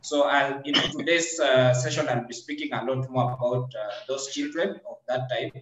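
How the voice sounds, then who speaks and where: A man with a Kenyan accent speaking haltingly as a charity leader on an online panel. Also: medium pitch, fairly even intonation. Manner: halting, pausing mid-sentence. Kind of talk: introductory talk on a video call. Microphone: video call. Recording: echoey, noisy, muffled internet connection.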